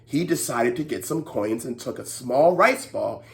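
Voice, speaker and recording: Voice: medium pitch, expressive, unhurried, creaky. A children's storytime host who narrates expressively and talks instructively to young listeners, often checking in with them.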